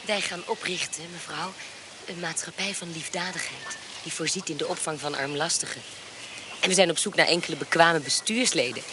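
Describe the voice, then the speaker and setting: An actress playing a sly hostess in a radio play, talking smoothly and ironically. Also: female voice, sits low, fairly quick, noisy background, close to an everyday voice. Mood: coaxing.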